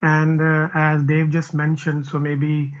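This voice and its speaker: Higher-voiced man from Canada, flat delivery. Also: takes it slowly, dull audio. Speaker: panelist, realtor. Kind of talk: conversational panel talk.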